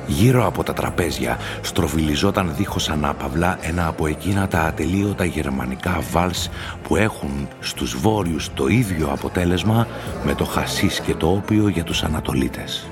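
An extremely deep male voice narrates a literary tale for radio. He tells it in a measured, deliberate way, with music behind him.

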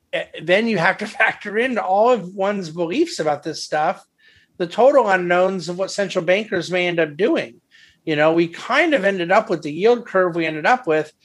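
Thoughtful man giving market commentary. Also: a little high. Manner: thoughtful, hedging, many restarts. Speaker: investment firm head and market commentator. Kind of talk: conference call market commentary. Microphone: phone or conference call line.

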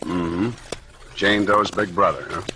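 An American actor playing a tough, cynical, wisecracking private detective in a 1940s radio drama, clipped and sardonic. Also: male voice, low voice, slow, noisy background. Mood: cool, sardonic.

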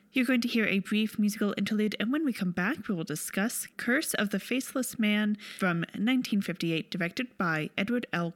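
A woman's voice: medium pitch, no echo. Woman co-hosting a podcast, dry sound. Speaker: podcast co-host. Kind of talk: casual film discussion.

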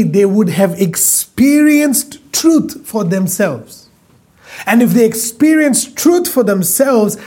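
Husky, high-voiced man preaching. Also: warm tone. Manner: emphatic, repeats phrases, stretches key words slowly. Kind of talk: sermon.

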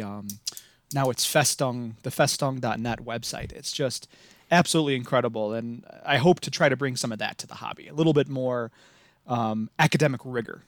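A man's voice: medium pitch, dry recording. A man in his thirties with a Midwestern American accent, talking enthusiastically as a podcast guest, rambling with many restarts. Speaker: podcast guest, living history reenactor. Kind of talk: casual podcast interview.

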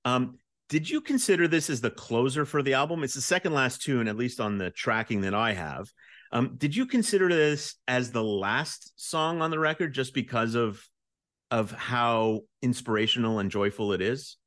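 A man's voice: mid-range voice, lively intonation, normal speed, fairly bright tone, no echo, studio quality. A man interviewing a musician, asking enthusiastic questions full of praise and starting with "um".

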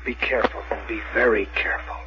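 An actor playing an anxious, protective man on the run, speaking haltingly in a radio drama. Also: medium pitch, fairly even intonation, close to an everyday voice. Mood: anxious, urgent. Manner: halting, repetitive. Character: anxious, protective lover on the run with his woman. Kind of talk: 1970s radio drama acting. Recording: muffled radio recording, faint noise.

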